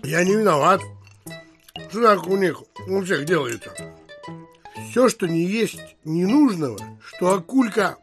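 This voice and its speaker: An actor playing a blunt, gluttonous landowner who grumbles scornfully and emphatically in an audio play. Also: male voice, sits high, animated, measured pace, long pauses, fairly bright tone, soft background music, full character voice. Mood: scornful grumbling. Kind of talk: audio play acting of a literary classic.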